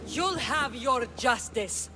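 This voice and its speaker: Bold, animated Spartan mercenary. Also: female voice, high-pitched, slow pace, background noise. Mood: accusing. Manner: blunt, assertive. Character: bold, resolute young Spartan mercenary woman. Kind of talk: dramatic video game acting.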